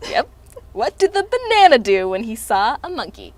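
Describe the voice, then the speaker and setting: A woman's voice: on the high side, very animated, dry recording. A woman doing a giggly joke-telling puppet. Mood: playful. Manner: giggly, sings a slow rhyming song. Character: cheerful joke-telling puppet named Tuna. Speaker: children's puppet show performer.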